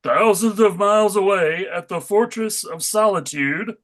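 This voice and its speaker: A man's voice: sits high, measured pace, fairly bright tone. Male host-narrator for a comic table read: theatrical storytelling, wry asides, tongue in cheek.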